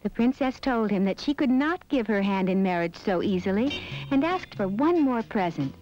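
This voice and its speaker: A woman's voice: mid-range voice, expressive, normal speed, darkish tone, no echo. A woman storyteller reading scripted narration for a children's fairy tale. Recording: dull lo-fi soundtrack with music behind. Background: background music.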